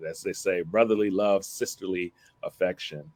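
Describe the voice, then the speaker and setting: A man's voice: low voice, fairly even intonation, moderate pace, a little mellow. A man who is an Episcopal priest, raised in Philadelphia, answering interview questions in an earnest, storytelling way with small laughs, over a video call. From Philadelphia, family from Barbados.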